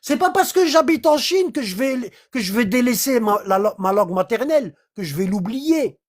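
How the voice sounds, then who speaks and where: A livestreamer in casual commentary, explaining scripture in an animated way and often checking in with short questions to the listener. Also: medium pitch, normal speed. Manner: animated, acts out little dialogues, keeps checking in with "hein?" and "d'accord?". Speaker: livestreamer explaining Quran verses.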